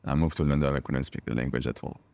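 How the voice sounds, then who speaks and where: A man's voice: very deep voice, steady tone, fast, vocal fry, a little mellow. A man from France with a French accent, a native French speaker, talking reflectively and emphatically as an interview guest. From France, a small village in Bordeaux. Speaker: podcast guest, wine bar owner.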